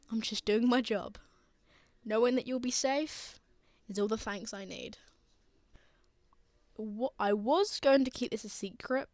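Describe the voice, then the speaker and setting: A woman playing a gentle, reassuring father who comforts a frightened child in a tender ASMR roleplay. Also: on the high side, dry recording. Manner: soothing, tender, earnest.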